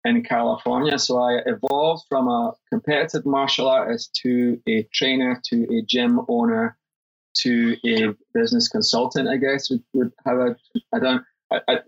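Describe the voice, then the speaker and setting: Man, Scottish accent, gravelly, chatty guest, keeps asking "right?", far from a lo-fi mic. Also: medium pitch, normal speed. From Scotland. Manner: chatty, often adds "right?". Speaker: guest, fitness and business consultant. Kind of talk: casual interview talk.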